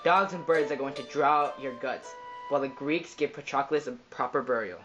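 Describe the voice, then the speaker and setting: A man's voice: medium pitch, a little fast, lo-fi sound, over music, close to an everyday voice. A man playing a vengeful, merciless Greek warrior in a mythological puppet show. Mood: gloating, vengeful.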